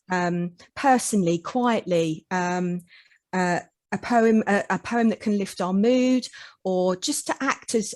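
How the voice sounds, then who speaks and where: Woman presenter, halting delivery, dry close sound, video call. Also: mid-range voice, no room sound. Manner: hesitant, many fillers and restarts. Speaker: presenter recommending books. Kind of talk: online event talk.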